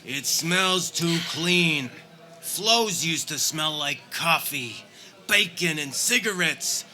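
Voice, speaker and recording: Man doing a high, sharp grumpy old-school grouch. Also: expressive, slow, heavily acted. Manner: grouchy, grumbling. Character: grumpy, complaining old-fashioned husband. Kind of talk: comedic radio play acting.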